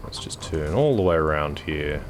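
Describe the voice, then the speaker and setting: Hoarse deep-voiced man streaming a game. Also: normal speed, background noise. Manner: relaxed, chatty, talks to himself while driving. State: hoarse, voice nearly gone after a cold. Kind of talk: casual gameplay commentary.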